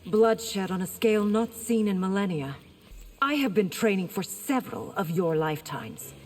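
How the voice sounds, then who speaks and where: An actress playing a proud, regal, long-lived warrior princess in video game dialogue. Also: female voice, mid-range voice, normal speed, darkish tone, lightly acted. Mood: proud, grave. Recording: long hall reverb.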